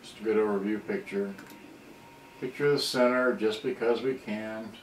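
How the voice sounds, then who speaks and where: A man giving casual, off-the-cuff commentary as a repair hobbyist, talking in a rambling, halting way. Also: fairly low pitch, steady tone. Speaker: electronics repair hobbyist presenting. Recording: roomy, distant mic, faint noise.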